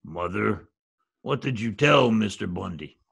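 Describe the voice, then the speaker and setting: A man playing a surly gangster boss in a 1940s radio play, curt and plodding, with a gravelly, husky voice. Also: fairly low pitch, lively intonation, a little slow, fairly bright tone, full character voice. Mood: suspicious. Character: slow, surly adult male gangster boss.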